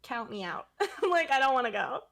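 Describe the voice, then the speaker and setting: A woman's voice: sits high, very animated, normal speed, gravelly, fairly bright tone. A woman guest on a streaming talk show, chatting casually and rambling with many fillers. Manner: rambling, many likes and ums. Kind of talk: casual podcast chat.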